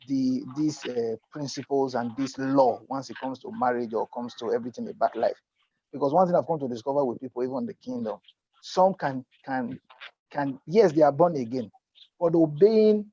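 A man's voice: medium pitch, dark tone, husky, no echo. A middle-aged man speaking unscripted in an earnest panel discussion, repeating and rephrasing himself and checking that he is understood. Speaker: panelist at a church youth congress. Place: indoor room, video call. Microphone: wired earphone mic. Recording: dull lo-fi video call.

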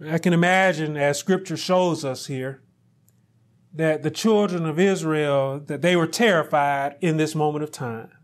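Slow, high-voiced preaching man. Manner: slow, deliberate preaching that climbs into loud, high emphasis. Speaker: pastor. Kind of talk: sermon.

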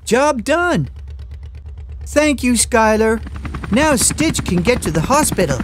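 A woman narrating a children's cartoon in a playful, animated way, with chatty asides to her young listeners. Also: fairly high pitch, slow pace, noisy background. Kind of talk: playful scripted narration for toddlers. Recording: music behind the voice.

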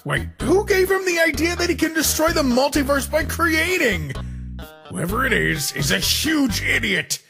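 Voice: sits high, animated, moderate pace, vocal fry, exaggerated voice. A performer voicing an exasperated, fussy male skeleton in a cartoon comic dub, scolding in a dramatic manner. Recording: voice over loud music.

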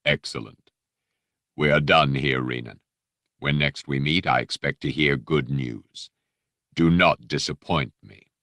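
A man voicing a menacing, coldly polite armored dark lord in an audiobook, with rich, silky, measured speech. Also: no echo, full character voice. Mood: quiet menace. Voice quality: deep, rich, silky, mellifluous. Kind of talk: audiobook character voice.

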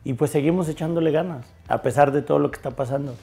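Halting Mexican man, mellow voice. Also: fairly high pitch, vocal fry, faint music behind. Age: 40s. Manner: halting, many fillers and restarts. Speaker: chef and restaurant owner. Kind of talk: interview conversation. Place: bar with bottle shelves. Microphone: lapel mic.

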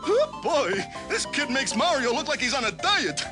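A man voicing a kindly, nervous, exasperated younger plumber brother in a cartoon, with a jittery, excitable manner. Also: very high voice, moderate pace, over loud music. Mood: fond, exasperated. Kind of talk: animated cartoon hero acting.

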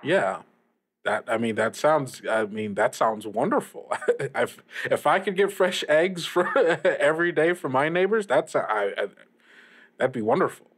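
A man plays a friendly young male radio DJ hosting a casual call-in show. He rambles in a halting, chuckly way, at an everyday middle pitch. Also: expressive, a bit bright, breathy edge. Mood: cheerful, amused. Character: friendly young small-station radio DJ named Lil Harry. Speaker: radio host.

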